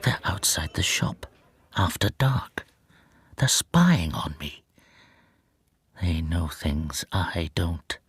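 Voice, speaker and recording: Timid anxious shopkeeper, low husky voice, hesitant, confiding, radio drama. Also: male voice, expressive, slow, long pauses, dry recording, exaggerated voice. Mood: fearful whisper. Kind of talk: radio drama monologue and dialogue.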